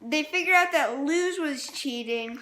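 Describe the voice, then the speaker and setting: A woman with a high, bright voice shares a casual review as co-reviewer. She recounts things informally and haltingly, piecing them together as she talks. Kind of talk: casual episode review chat.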